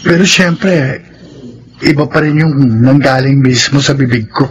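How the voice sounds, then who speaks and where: Bashful, stammering young Filipino suitor played by an actor. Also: male voice, medium pitch, unhurried, noisy background, natural voice. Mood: glum, reluctant. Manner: hesitant, stammering, timid. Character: bashful, nervous, lovesick young man. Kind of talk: Filipino radio drama acting.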